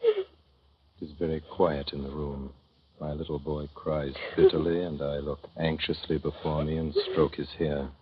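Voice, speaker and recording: A man narrating as a gentle, thoughtful father in a 1930s radio drama, with measured, tender storytelling. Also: extremely low pitch, little pitch movement, background noise. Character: gentle, thoughtful father telling of his son. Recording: dull old 1930s radio recording.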